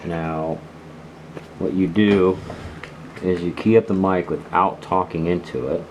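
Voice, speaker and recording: A man casually demonstrating gear as a video host, explaining things in a halting, unhurried way. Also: low voice, steady tone, a little mellow. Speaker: host of a radio gear channel. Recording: clearly noisy background.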